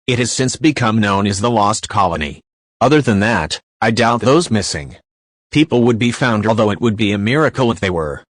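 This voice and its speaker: Man as local TV news anchor: composed, slightly low voice, dry studio-like sound, scripted read. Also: expressive, normal speed, no echo. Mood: composed, serious.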